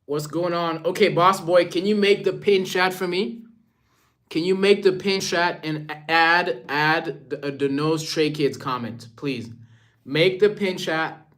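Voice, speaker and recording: A man's voice: sits high, a bit bright. A young man from Rwanda talking casually and informally to his live-stream viewers, using slang and often repeating himself.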